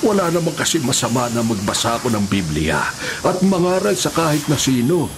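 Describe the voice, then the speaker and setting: A man's voice: mid-range voice, sing-song, brisk, noisy background. An actor playing an elderly, pious male prisoner who preaches earnestly and meekly in a radio drama. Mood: humble, earnest. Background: music.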